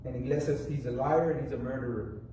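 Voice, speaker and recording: A man preaches a sermon in a large, echoing room, with his voice picked up from a distance. His tone is warm and dark, and he talks haltingly, restarting and repeating himself often. He is a native English speaker. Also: medium pitch, a little hiss or hum. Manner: rambling, halting with many restarts and fillers. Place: church.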